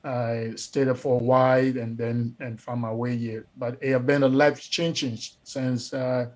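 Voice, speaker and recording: Liberian pastor guy chatting as a guest, flat steady delivery, says uh a lot. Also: medium pitch, a little slow. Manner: earnest, repeats words, many uh fillers. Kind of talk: casual interview.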